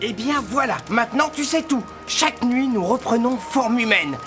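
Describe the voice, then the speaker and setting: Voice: mid-range voice, expressive, moderate pace, over loud music, natural voice. An actor playing an earnest enchanted prince in a cartoon fairy tale, solemn in manner. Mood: solemn, urgent. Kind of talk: animated fairy tale acting.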